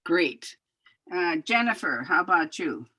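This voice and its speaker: A woman's voice: fairly low pitch, sing-song, measured pace, bright, forceful tone, no echo. A woman facilitating an online workshop, calling on participants by name with short upbeat prompts. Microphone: video call.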